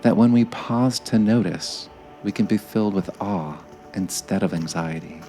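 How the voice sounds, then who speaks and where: A man narrating a scripted guided meditation in a gentle, measured way, with frequent pauses. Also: on the low side, soft background music.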